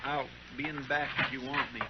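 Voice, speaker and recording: An actor playing a fussy, deferential marshal's helper in a radio western, with a folksy, hesitant, eager manner. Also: male voice, mid-range voice, little pitch movement, fairly quick, natural voice. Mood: awkward, polite. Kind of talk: old-time radio western acting. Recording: dull, noisy old radio recording.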